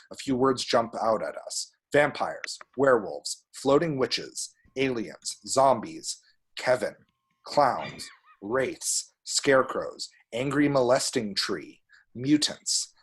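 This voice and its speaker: A man narrates screen action as scripted stage directions at a table read. His voice is a little husky, with a middle pitch. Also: animated, a little slow. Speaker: reader of screenplay action descriptions.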